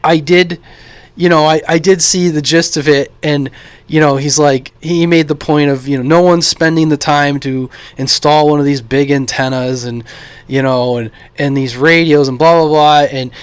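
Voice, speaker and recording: A man's voice: a little high, fairly even intonation, clear, resonant tone, no echo. Rambling man with a dry, close sound. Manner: rambling, halting, thinking aloud. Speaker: radio hobby YouTuber. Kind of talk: casual unscripted vlog talk.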